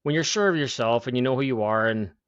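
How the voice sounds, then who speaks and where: A man in his forties in a casual podcast chat who talks haltingly and fills gaps with "like". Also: medium pitch, fairly bright tone, clean tone, no room sound. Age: 40s. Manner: halting, full of "like" fillers. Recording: dull lo-fi dry sound.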